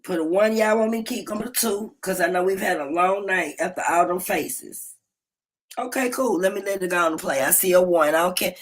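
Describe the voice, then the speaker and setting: A woman hosting a casual livestream, telling stories in a loud, profane and animated way. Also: brisk. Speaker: livestream host. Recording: low-quality phone stream.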